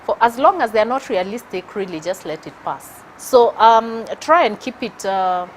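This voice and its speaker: A middle-aged woman with a Kenyan accent, a guest speaking in a thoughtful, measured way with frequent hesitations in a studio interview. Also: a little high, lively intonation, slight background noise, rough recording. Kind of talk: casual interview chat.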